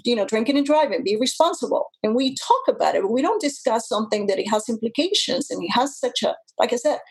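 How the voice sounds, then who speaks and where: Interview guest: woman, early fifties, husky voice, earnest, halting, restarts a lot. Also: medium pitch, rough recording. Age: 50s. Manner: earnest, many restarts and fillers. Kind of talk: interview talk.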